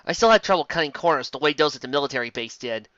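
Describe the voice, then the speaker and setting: Bright, theatrical comedy host, slightly lo-fi. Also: medium pitch, animated, racing, clear, resonant tone. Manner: theatrical, exclamatory, sarcastic asides. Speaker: host of a fanfic reading show. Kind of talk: comedic dramatic reading with mocking commentary.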